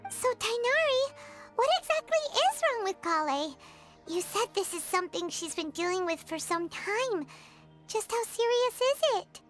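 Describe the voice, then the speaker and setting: A woman voicing a tiny, childlike, earnest female fairy companion in a video game, with a squeaky, openly expressive delivery. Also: a little slow, full character voice. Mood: concerned, curious. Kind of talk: scripted video game dialogue. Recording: music behind the voice.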